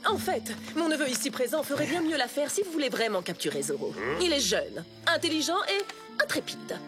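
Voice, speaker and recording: An actress playing a scheming upper-class lady in a cartoon, with a haughty, honeyed, flattering way of talking. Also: high voice, brisk, over music. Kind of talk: animated series dubbing.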